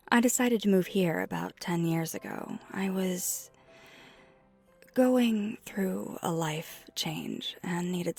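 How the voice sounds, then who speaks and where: Woman playing a breathy, unhurried flirty vampire. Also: lowish voice, no room sound. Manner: soft-spoken, gently reassuring, often breathy near-whisper. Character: flirty friendly female vampire teaching ice skating. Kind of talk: ASMR roleplay, one side of a conversation. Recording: music behind the voice.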